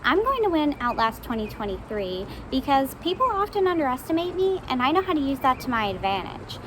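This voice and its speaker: A woman's voice: high voice, sing-song, a little fast, fairly bright tone, a little hiss or hum, rough recording. A woman in her twenties from New Hampshire, slyly outlining her game plan as a contestant. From New Hampshire, USA. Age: 20s.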